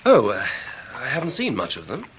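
An actor playing a breezy, self-assured young English gentleman, teasing and flamboyant, in a radio drama. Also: medium pitch, huge pitch swings, normal speed, a little hiss or hum, low-quality recording. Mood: offhand. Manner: flamboyant, drawling banter. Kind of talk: 1990s British radio drama acting.

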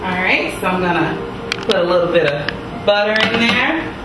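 A woman's voice: fairly low pitch, lively intonation, moderate pace, very noisy. A woman hosting a cooking demonstration in a kitchen, talking in a chatty, folksy way as she walks viewers through each step. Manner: chatty, folksy, repeats "gonna go ahead". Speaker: cooking show host. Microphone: distant mic. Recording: echoey distant room with loud music. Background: loud music.

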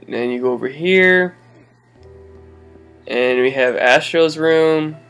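A man's voice: on the high side, slow pace, a bit bright, clean tone, soft background music. Laid-back young man touring his game world. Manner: rambling, laid-back, many fillers and laughs. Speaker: gaming channel host. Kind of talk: casual game walkthrough commentary.

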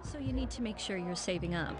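An actress playing a stern, nagging girlfriend, pointed and matter-of-fact, in an animated game cutscene. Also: mid-range voice, fast talker, over a noisy crowd, close to an everyday voice. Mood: firm, practical. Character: stern, nagging long-time girlfriend, composed and controlling. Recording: loud music under the dialogue.

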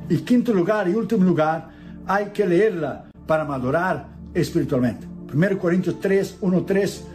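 A man's voice: sits high, moderate pace, darkish tone, soft background music. A middle-aged man preaching a sermon in an earnest tone, reciting scripture in a solemn cadence. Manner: earnest preaching, reads Bible verses aloud in solemn cadence.

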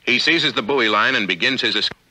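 Man, American accent, 1950s training-film narration, very bright forceful voice, authoritative, measured, thin vintage soundtrack. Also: mid-range voice, poor audio.

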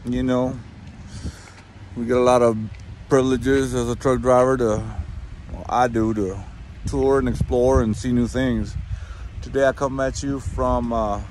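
Trucker guy in his 30s chatting to the camera outside, laid back, calls everyone guys, bit of background noise. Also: mid-range voice. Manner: relaxed, chatty, addresses viewers as guys. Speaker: truck driver vlogger. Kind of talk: casual travel vlog. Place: outdoors on a volcano trail and in a truck cab. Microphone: phone held at arm's length.

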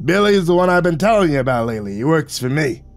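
A man playing a booming gangster boss. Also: lively intonation, quick pace. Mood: jovial. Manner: booming, chummy, street slang. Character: big jovial foul-mouthed male gangster boss. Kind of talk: audiobook character voice. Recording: music behind the voice.

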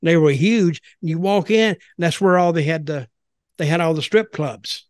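Older man, higher-pitched voice, close dry sound. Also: normal speed, no echo. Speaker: podcast host. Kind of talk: casual chat, storytelling. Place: small home office, indoors. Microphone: desk microphone with headset.

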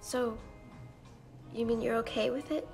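A woman's voice: fairly high pitch, fairly even intonation, unhurried, vocal fry. An actress playing an anxious young woman who hesitates and stammers. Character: nervous young woman, a gangster's girlfriend. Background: game score music.

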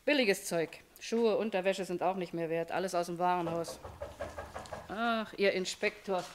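A woman's voice: on the low side, moderate pace, background noise, lightly acted. An actress playing a jaded, world-weary woman shop owner with a shady past, dismissive and brusque, in a German radio crime drama. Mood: jaded, indifferent.

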